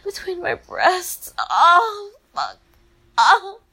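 A woman in bed at night, exhausted, talking in a breathy, whispery, trembling voice that breaks into moans. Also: very high voice, huge pitch swings, very slow, pushed and bright. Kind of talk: intimate erotic audio monologue.

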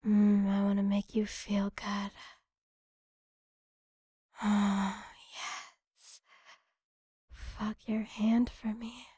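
A woman performing intimate adult ASMR straight to the listener, murmuring seductively and often slipping into a breathy whisper, close to the mic. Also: mid-range voice, monotone, very slow, pauses often, warm tone, no echo. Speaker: erotic audio performer. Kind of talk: erotic ASMR audio addressed to the listener.

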